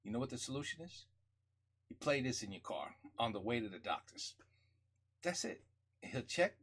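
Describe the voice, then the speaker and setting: A middle-aged man with a husky, slightly rough voice chats casually into a headset. His pitch swings up and down a lot as he rambles with enthusiasm and restarts his sentences. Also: very animated, moderate pace, fairly bright tone. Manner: enthusiastic, rambling, repeats himself. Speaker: reaction video host. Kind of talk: casual spoken reaction.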